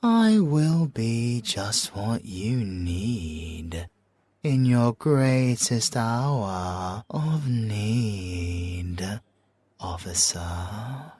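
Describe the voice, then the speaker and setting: A man's voice: lowish voice, big pitch swings, a little mellow, husky, dry recording, heavily acted. A man with a British accent playing a suave, flirtatious former special forces soldier in an ASMR audio roleplay, teasing and theatrical, drawing out his words and slipping into whispers. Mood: smug, confiding. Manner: teasing, drawn-out, theatrical delivery with whispered asides. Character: suave, flirtatious, possessive male ex-special-forces bomb expert.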